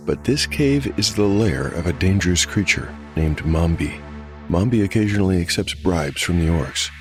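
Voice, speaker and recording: Low-voiced man narrating dark fantasy. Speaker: narrator and game master. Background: music.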